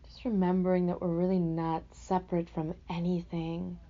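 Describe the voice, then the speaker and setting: A woman teaching a guided meditation in a gentle, unhurried, reflective way, speaking off the cuff with pauses between phrases. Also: fairly low pitch, level tone, lo-fi sound.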